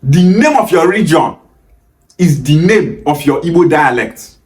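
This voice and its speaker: A young Nigerian man with a Nigerian accent, talking straight to the camera with emphatic, passionate delivery and sharp rises when he pushes back. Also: a little high, lively intonation, measured pace, echoey room. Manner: emphatic, gestures freely, rises sharply on rebuttals. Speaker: video host. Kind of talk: passionate direct-to-camera talk. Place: small indoor room against a plain wall.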